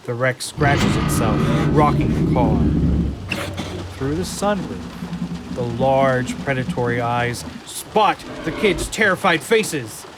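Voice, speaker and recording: A man narrating an audio drama from a script in a deliberate, suspenseful way. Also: mid-range voice, lively intonation, very noisy. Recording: loud rain and storm effects behind.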